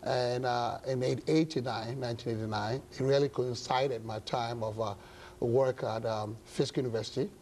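Ghanaian guy, middle aged pastor, warm dark voice, picks his words slowly in an interview, bit of background noise. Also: mid-range voice, steady tone. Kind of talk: studio interview, measured explanation. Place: indoor television studio set. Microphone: lapel mic.

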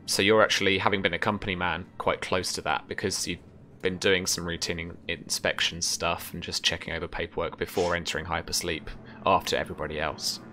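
Deep-voiced, bright-toned man narrating a game. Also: little pitch movement, fairly quick, a little hiss or hum. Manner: hesitant, piecemeal phrasing. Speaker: game master. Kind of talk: improvised scene narration for tabletop game. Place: small room at home with bookshelves.

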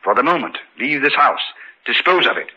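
A man playing a grave, learned doctor in an old-time radio drama, speaking solemnly and deliberately with a husky voice. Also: medium pitch, lively intonation, sharp tone, full character voice. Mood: grave, urgent. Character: grave, learned male doctor battling supernatural creatures. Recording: dull vintage radio recording.

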